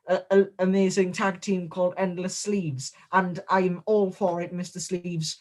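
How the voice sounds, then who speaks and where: A man giving a comedic, in-character interview as a guest wrestler, deadpan, boastful and absurd. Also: sits high.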